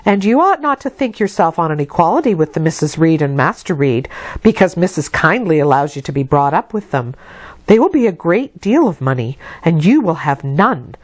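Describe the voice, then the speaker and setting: A woman's voice: low voice, big pitch swings, normal speed, dry recording. A woman voicing a stern, sanctimonious lady's maid in a storybook, scolding in a prim manner. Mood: disapproving.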